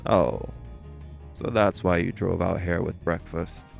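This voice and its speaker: A man's voice: sits low, slow, creaky, soft background music, full character voice. A man playing a reserved, hesitant shapeshifter boyfriend with dry humour in a romantic audio roleplay. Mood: dry, agreeable. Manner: subdued, hesitant, deadpan.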